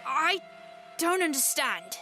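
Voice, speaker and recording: Woman as cautious indignant kid, high bright voice, swooping delivery, audio adventure drama. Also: sing-song, takes it slowly, faint music behind, heavily acted. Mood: exasperated. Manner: emphatic, exasperated. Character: anxious, cautious, indignant child sailing runaway.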